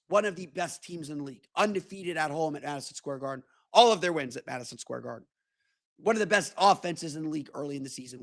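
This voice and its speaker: A man with a hoarse voice, hosting a casual sports podcast and often repeating words as he thinks aloud. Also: high-pitched, sharp tone. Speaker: podcast host and senior writer.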